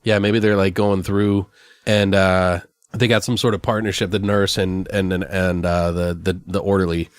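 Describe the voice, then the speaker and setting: A man's voice: low-pitched, little pitch movement, moderate pace, clean tone, dry recording. A man hosting a podcast, brainstorming in a loose, rambling, slangy and profane way. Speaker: podcast host. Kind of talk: casual brainstorming chat.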